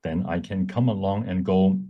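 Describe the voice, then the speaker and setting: Low-pitched man presenting a software demo. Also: moderate pace, slightly rough, lo-fi sound. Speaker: workshop presenter. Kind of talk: live software demonstration talk. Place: online presentation at a computer.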